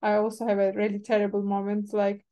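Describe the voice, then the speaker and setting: A woman whose first language is Turkish, hosting a webinar and chatting quickly with many fillers over a video call. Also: medium pitch, completely flat delivery, mellow. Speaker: webinar organizer. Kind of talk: casual webinar chat.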